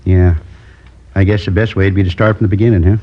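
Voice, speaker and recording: An actor playing a lonely man in his seventies who confesses to a robbery in a slow, rambling, wistful way, in 1950s radio drama acting. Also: sits low, fairly even intonation. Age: 70s. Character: lonely old male drifter turned robber. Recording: dull old radio broadcast.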